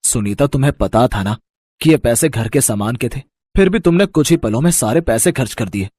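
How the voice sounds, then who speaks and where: A man's voice: mid-range voice, animated, brisk, a little mellow, dry recording, lightly acted. A man voicing a principled, reproachful young husband in a cartoon moral story.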